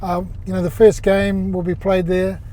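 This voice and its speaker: New zealand guy, older, lots of ums and restarts, high-ish mellow voice. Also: completely flat delivery, a little hiss or hum. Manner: halting, many fillers and restarts. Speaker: sports executive guest. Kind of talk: casual interview chat.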